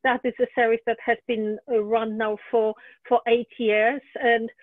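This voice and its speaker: A woman's voice: fairly high pitch, little pitch movement, moderate pace, no room sound. A woman host on a video call, describing events steadily with hesitations. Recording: tinny, phone-like video call audio.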